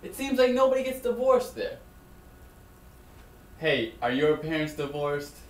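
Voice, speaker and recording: A man's voice: fairly high pitch, very animated, a little slow, over loud music. A young man playing a friendly, chatty college roommate in an amateur comedy skit, talking casually and a bit nosily. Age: young adult. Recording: echoey room with faint background noise.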